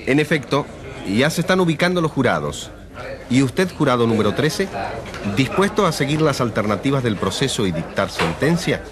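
An eager radio reporter, played by a man, with a medium-pitched voice. He commentates live in a radio drama while a crowd murmurs clearly behind him. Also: big pitch swings, moderate pace, natural voice. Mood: earnest, expectant. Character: eager male radio reporter covering a public trial.

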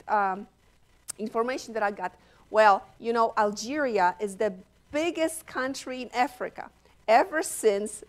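A woman speaking as a professor on a panel, thoughtful and measured, pausing often. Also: medium pitch. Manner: measured, thoughtful, slow with pauses. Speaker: panelist, political science professor. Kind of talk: panel discussion answer. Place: stage at a law school panel table.

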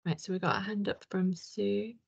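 A woman hosts an online question session in an informal, chatty style. Her voice sits at a middle pitch. The sound is somewhat dull, as on a video call. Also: moderate pace, gravelly, slightly rough. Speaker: host moderating questions. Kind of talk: casual informal moderating. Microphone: computer video call.

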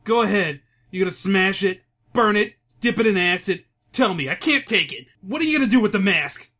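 A man's voice: high-pitched, animated, brisk, pushed and bright, heavily acted. A man playing a wisecracking, streetwise young schemer in a comic book audio drama, brash and casual. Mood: urging.

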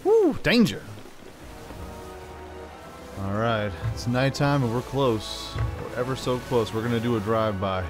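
A man's voice: mid-range voice, very animated, a little slow, pauses often. A man hosting a gaming video, thinking aloud in casual, playful commentary with frequent exclamations. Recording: game music under the voice. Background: game music.

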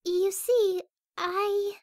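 A woman voicing a shy, gentle young cartoon pegasus pony with a hesitant, stammering delivery. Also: extremely high pitch, fairly even intonation, extremely slow, no room sound, clean studio sound, exaggerated voice. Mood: nervous. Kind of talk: amateur cartoon fan audio drama.

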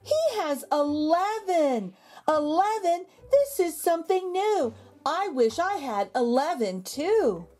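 A woman reading a picture book aloud to young children, with expressive, exaggerated intonation and drawn-out words. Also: very high-pitched. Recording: background music under the voice. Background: music.

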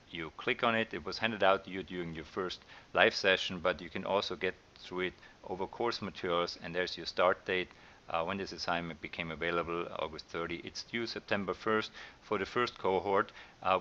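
A middle-aged man with an Austrian accent, talking through course slides as a science teacher in a relaxed way, with many ums and uhs. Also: low-pitched, lo-fi sound. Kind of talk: recorded slide lecture.

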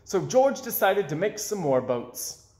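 A young man reading a children's story aloud in an expressive way, with dramatic pauses. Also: high-pitched. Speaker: storybook reader. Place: small indoor room with bookshelves. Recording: roomy, echoey indoor sound.

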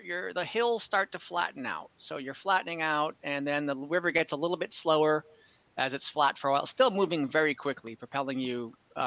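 A young man as game master, recapping and narrating a tabletop game over a video call in a relaxed, rambling way with lots of fillers. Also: medium pitch, no room sound. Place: home room on a video call. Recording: dull, slightly lo-fi video call audio.

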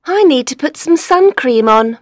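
A woman's voice: sits high, lively intonation, normal speed, dry recording, studio quality. A woman playing a worried young woman searching for her missing friend in an audiobook story. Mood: worried, questioning. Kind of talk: audiobook character dialogue.

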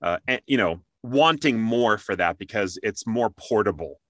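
A man's voice: fairly low pitch, expressive, moderate pace, no echo, studio quality. A man hosting an interview show in an upbeat, chatty, promotional way.

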